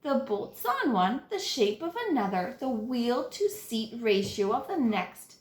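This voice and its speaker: A woman teacher reading a children's picture book aloud, with dramatic, deliberate phrasing and stressed key words. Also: fairly high pitch, big pitch swings, a little mellow, reverberant. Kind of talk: expressive children's read-aloud narration.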